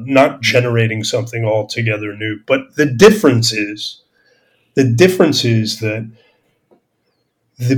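A man speaking as a podcast host in an extended intellectual discussion, academic and discursive, searching for words and repeating phrases as he stresses key terms. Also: mid-range voice. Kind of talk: extended intellectual discussion, answering listener questions.

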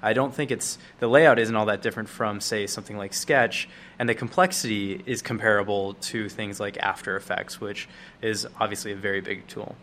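A man's voice: on the low side, fairly even intonation, moderate pace, fairly bright tone, a little hiss or hum. A man hosting an interview, asking questions and offering thoughtful comments. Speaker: interview host. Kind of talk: podcast interview.

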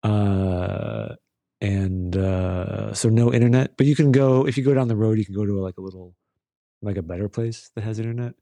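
A man co-hosting a podcast over a call, cracking deadpan teasing jokes in casual chat. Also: fairly low pitch, normal speed, creaky, no echo. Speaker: podcast co-host.

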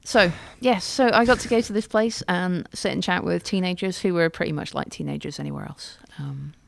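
A woman's voice: on the low side, a little fast, creaky, no room sound. A woman co-hosting a podcast, chatting casually in a wry, self-deprecating way. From United Kingdom. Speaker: podcast co-host. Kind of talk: casual chat.

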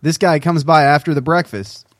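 A man's voice: on the high side, moderate pace, no echo. A man co-hosting a podcast in casual banter, with a wry, joking way of talking. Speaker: podcast co-host.

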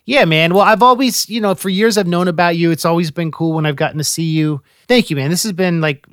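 A man from the Bay Area hosts a podcast with casual, excited chatter. His voice is high for a man and full of slang, and he often repeats words while he talks. The sound is dry and close. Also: brisk, smooth, dry recording. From San Francisco Bay Area. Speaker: podcast host. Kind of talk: casual interview chat.

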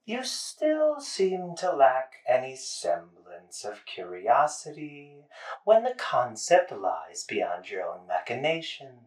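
A man's voice: medium pitch, a little mellow, recorded from a distance. A man voicing a lofty, condescending scientist who lectures in a pompous way. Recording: echoey, from off-mic.

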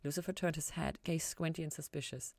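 A woman narrates fan fiction like an audiobook. Her voice is notably low in pitch, and she reads scripted prose in a dry recording with little room sound. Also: fairly even intonation, a little fast, gravelly, darkish tone, no echo. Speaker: podcast host reading fan fiction.

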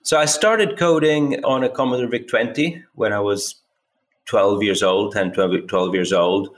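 A man's voice: fairly low pitch, unhurried. Italian-born man with a blurred mixed accent. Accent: mixed British, American and Swedish English. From Italy. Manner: thoughtful, repeats words as he thinks, many "you know" fillers. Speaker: guest. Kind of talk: casual interview, recounting his career.

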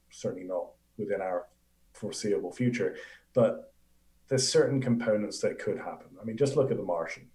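English guy geeking out about space, low voice, echoey room, laughs a lot. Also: dark tone. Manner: enthusiastic, chatty, laughs often. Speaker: guest astrophysicist. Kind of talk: casual live Q&A chat.

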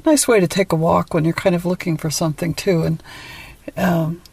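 A woman's voice: on the low side, fairly even intonation, moderate pace, creaky, darkish tone, husky, dry recording. A woman talking casually as a podcast interviewer.

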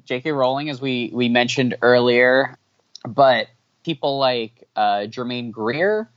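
A man whose first language is not English answering interview questions candidly, halting with many fillers and restarts. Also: medium pitch, no room sound. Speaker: podcast guest. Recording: dull lo-fi audio.

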